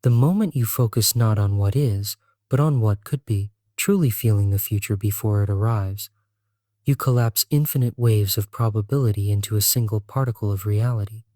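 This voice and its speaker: A man narrating a scripted guided sleep meditation in a solemn, measured, earnest way. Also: fairly low pitch, dark tone, no echo, clean studio sound.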